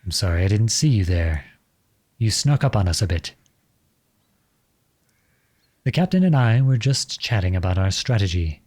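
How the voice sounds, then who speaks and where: A man's voice: on the low side, sing-song, normal speed, long pauses, a little mellow. A man playing an impassioned, defiant young prince turned soldier in a scripted audio roleplay, with an earnest, dramatic delivery. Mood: formal, restrained.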